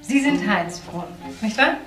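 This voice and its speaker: A woman's voice: a little high, expressive, a little slow, music in the background. An actress playing a kindly, forthright middle-aged married woman in a crime radio drama, courteous and direct. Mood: friendly, forward. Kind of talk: naturalistic German crime radio drama acting.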